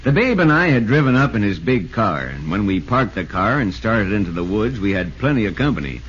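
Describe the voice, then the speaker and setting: Animated 1940s radio sports reporter. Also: male voice, fairly low pitch, brisk. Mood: storytelling. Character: chummy sports reporter, storytelling pal of a ballplayer. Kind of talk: 1940s radio narration and pitch. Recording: dull, lo-fi old radio, faint noise.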